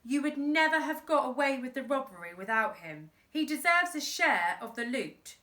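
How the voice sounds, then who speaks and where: A woman voicing a bold, indignant young boy who protests loudly. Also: high-pitched, very animated, normal speed, voice pushed up, exaggerated voice. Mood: defiant.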